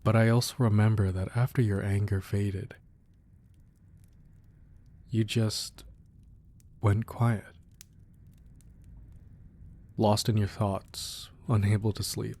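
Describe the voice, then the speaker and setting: A man playing a devoted, protective crime boss boyfriend in a romantic ASMR roleplay, speaking softly and earnestly with hesitant pauses. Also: on the low side, flat delivery. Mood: tender, reflective. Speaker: audio roleplay performer. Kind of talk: romantic ASMR roleplay, intimate scripted monologue.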